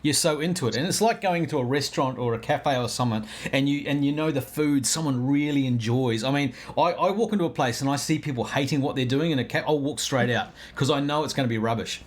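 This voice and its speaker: A man's voice: medium pitch, fast, few pauses. A man hosting an interview, an actor himself, chatting in an effusive and enthusiastic way. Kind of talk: casual interview chat.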